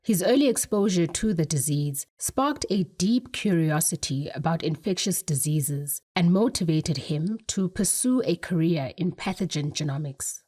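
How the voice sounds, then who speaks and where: A woman's voice: on the low side, lively intonation, normal speed, few pauses, warm tone, husky. A woman hosting a podcast, delivering scripted narration and interview questions. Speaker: podcast host.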